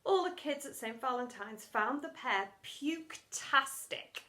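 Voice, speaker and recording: A woman with a fairly high voice narrates a children's storybook. Her delivery is expressive and theatrical, made for young listeners. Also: unhurried, echoey room. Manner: expressive, theatrical delivery with acted sound words. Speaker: teacher reading story aloud.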